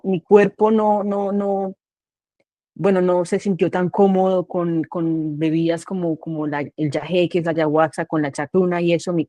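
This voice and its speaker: A woman's voice: fairly low pitch, warm tone, no room sound. A Colombian woman with a Colombian accent, speaking hesitantly and searching for words in a conversation.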